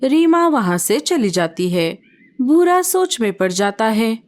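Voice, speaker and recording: Woman narrator, high voice, scripted storybook narration for kids. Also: big pitch swings, takes it slowly, a little mellow.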